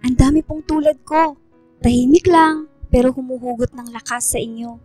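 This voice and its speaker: A woman's voice: sits high, little pitch movement, moderate pace, dry recording, faint music behind. A woman playing a shy, polite and devoted teenage girl student, speaking respectfully and sincerely in a sentimental radio drama. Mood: sincere, grateful. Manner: respectful, sincere, gentle phrasing.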